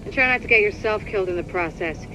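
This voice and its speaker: A brisk female mission handler played by a woman from a video game. She gives directions in a businesslike, no-nonsense manner, with clear noise in the background. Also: medium pitch, natural voice. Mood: focused.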